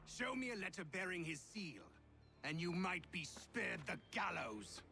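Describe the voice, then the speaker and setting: Game villain general: British, higher-pitched forceful voice, imperious, slow theatrical menace. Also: male voice, sing-song, creaky, exaggerated voice. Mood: sarcastic menace. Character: arrogant, hot-tempered British general, scornful and menacing. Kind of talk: dramatic video game cutscene acting. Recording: music behind the voice.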